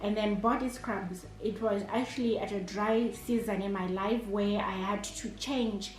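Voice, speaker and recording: A woman's voice: mid-range voice, fairly even intonation, a little slow, a little mellow. A Zimbabwean woman in her thirties, an entrepreneur and chef, answering interview questions in a hesitant way, with many fillers and restarts. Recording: echoey room.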